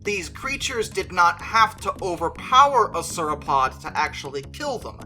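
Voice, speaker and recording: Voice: medium pitch, sing-song, normal speed, bright, forceful tone, faint music behind. A video creator giving an opinionated, conversational movie review, stretching stressed words for emphasis.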